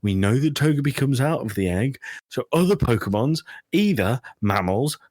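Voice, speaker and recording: A man's voice: mid-range voice, lively intonation, moderate pace, darkish tone, no echo. A man co-hosting a podcast in casual banter, argumentative and exasperated, swearing freely. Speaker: podcast co-host.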